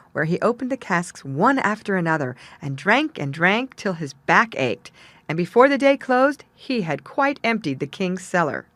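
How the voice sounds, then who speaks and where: A woman's voice: on the low side, dry recording. A woman reading a fairy tale as a storyteller, in scripted narration.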